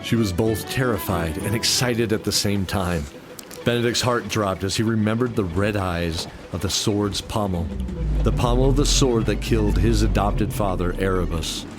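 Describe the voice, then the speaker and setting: A man's voice: fairly low pitch, normal speed. A man narrating a scripted fantasy story as a dramatic storyteller. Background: music.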